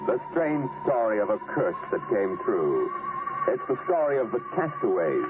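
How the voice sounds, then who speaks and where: A man's voice: on the low side, big pitch swings, moderate pace. A man delivering a dramatic scripted promo as a 1950s radio announcer. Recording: muffled old radio broadcast, music.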